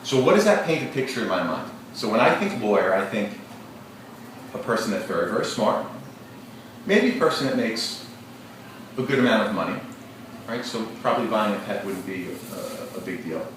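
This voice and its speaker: A male teacher leading an interactive read-aloud, questioning his class in a lively, engaging way. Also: lowish voice, normal speed, pauses often. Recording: echoey classroom, distant mic, noisy.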